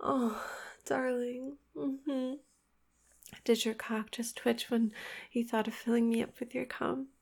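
A woman's voice: sits high, animated, measured pace. A young woman playing an obsessive, possessive lover in an intimate audio roleplay, giggly and coaxing, often dropping to a whisper. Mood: breathless.

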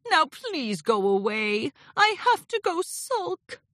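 A woman performs a theatrical male chaos creature from a children's storybook. The voice is high and bright, with big dramatic swings. He sounds haughty and wounded, savoring every florid phrase. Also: big pitch swings, measured pace, no room sound, studio quality, full character voice. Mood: sulky, wounded. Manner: haughty, melodramatic, florid wording.

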